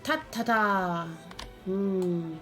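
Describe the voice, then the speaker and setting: A woman doing a casual let's play, excitable and thinking aloud, often repeating her phrases as she goes. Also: mid-range voice, extremely slow, soft background music. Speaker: game streamer, host. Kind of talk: casual let's play chat and live game commentary.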